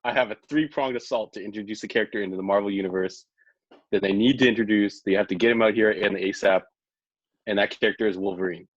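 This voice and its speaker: A man on a podcast panel casually pitching ideas with a jokey manner, pushing on through interruptions. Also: fairly even intonation, quick pace, no room sound, lo-fi sound.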